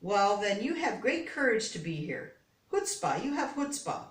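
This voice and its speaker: A man's voice: sits high, big pitch swings, moderate pace, echoey room, far from the mic. A woman reading aloud as a kindly, thoughtful old immigrant man who keeps a hat shop, in a storybook. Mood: warm, admiring. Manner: thoughtful, soft-spoken.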